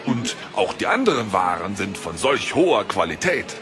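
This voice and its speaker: A man playing a smooth-talking, servile trader in a fantasy audio drama, with hesitant, ingratiating salesman patter. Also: on the low side, big pitch swings, normal speed, over music.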